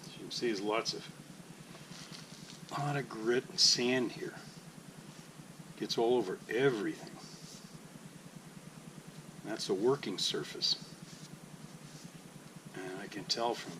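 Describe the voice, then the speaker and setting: A man's voice: fairly low pitch, slow, long pauses. A man narrating a casual, unscripted hands-on maintenance tutorial in an instructional way. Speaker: boat maintenance demonstrator. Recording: clearly noisy ambient background.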